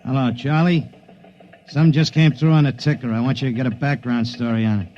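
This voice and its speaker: A man's voice: medium pitch, fairly even intonation, a little fast, close to an everyday voice. A man playing a brusque newspaper editor. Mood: dismissive. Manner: brusque, dismissive. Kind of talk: 1950s radio drama acting. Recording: lo-fi 1950s radio transcription.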